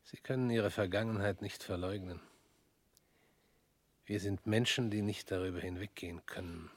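An actor in a radio play voicing a weary former prosecutor, measured and probing, sometimes dropping to a near whisper. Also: male voice, low voice, fairly even intonation, no room sound.

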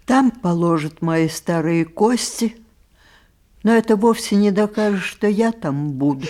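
Woman acting aged grandmother, radio play, mellow timbre, measured slow speech, fond, sharp-witted. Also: medium pitch, animated, natural voice. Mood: reflective. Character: wise, sharp-tongued very old grandmother, fond and unsentimental.

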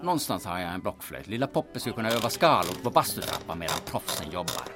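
A man's voice: deep voice, big pitch swings. An actor playing a muddled, cranky older forger in old-time radio comedy style, rambling and grumbling as he goes. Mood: grumbling, boastful.

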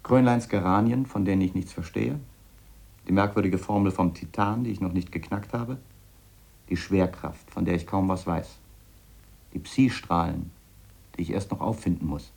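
An eccentric scientist in a radio play, with a very deep, gravelly voice. He muses earnestly, explaining his obsession and admitting his doubts as he feels his way from thought to thought. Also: darkish tone. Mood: frank, searching. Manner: reflective, halting, explanatory. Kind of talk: radio drama monologue in letter form.

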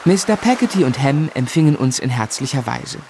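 An actor playing a grown man gently and wistfully recounting his boyhood as a measured radio drama narrator. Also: mid-range voice, very animated, music in the background, close to an everyday voice. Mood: composed. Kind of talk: literary first-person narration in radio drama.

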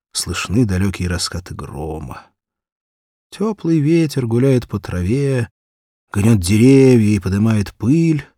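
Male audiobook narrator for children's tales: low voice, unhurried, animated pitch, dry studio sound. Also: no echo. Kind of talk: expressive scripted narration of a children's story.

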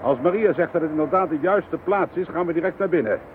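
Tinny actor playing a headstrong police inspector. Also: male voice, on the high side, quick pace, lightly acted. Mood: brisk, determined. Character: headstrong, insistent male police chief inspector. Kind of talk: radio drama acting. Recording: tinny, band-limited, hissy radio play recording.

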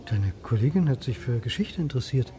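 An actor playing a mild middle-aged history lecturer, quietly inquisitive, in naturalistic radio drama dialogue. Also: male voice, medium pitch, animated, a little fast, noisy background, natural voice. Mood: inquisitive, calm.

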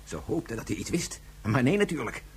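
An actor playing an insistent scientist in a science-fiction radio drama, reasoning emphatically step by step. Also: male voice, medium pitch, very animated, fairly quick, slight background noise, close to an everyday voice. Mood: explaining. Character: insistent, reasoning male scientist and father figure.

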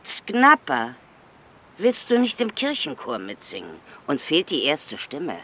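Slow, singsong churchgoing village aunt. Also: female voice, low voice, wildly swooping pitch, a bit bright, full character voice. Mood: inviting. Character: unhurried, churchgoing village aunt, meddling but peaceable. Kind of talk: German radio play acting.

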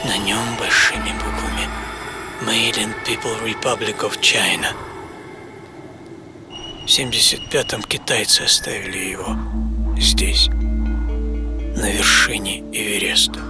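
A man playing an exhausted, wryly philosophical mountaineer in a radio monologue, halting and breathy, drifting between whisper and voice. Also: fairly low pitch, steady tone. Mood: tender, hushed. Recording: music under the voice. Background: music.

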